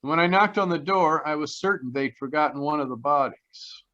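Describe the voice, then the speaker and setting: An actor playing a cocky, wisecracking private detective in old-time radio noir style, recorded over a dull video call. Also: male voice, on the high side, brisk, pushed and bright. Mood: wry narration.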